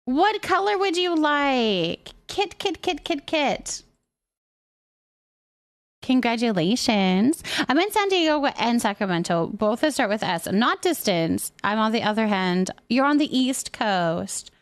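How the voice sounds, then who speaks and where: A woman with a high voice that swings up and down as she talks. She streams live, rambling along casually and chattily as she answers her viewers, with a giggly manner. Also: very animated, takes it slowly. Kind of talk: casual livestream chat, reading and answering viewers.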